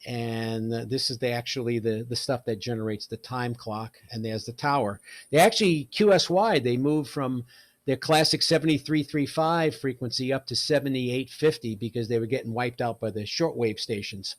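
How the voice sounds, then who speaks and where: An older man from New York City presents casually, as if swapping stories. His talk is chatty and colloquial, in a mid-pitched voice with a dry, close sound. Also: moderate pace, no echo. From New York City, United States. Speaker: presenter, radio hobbyist.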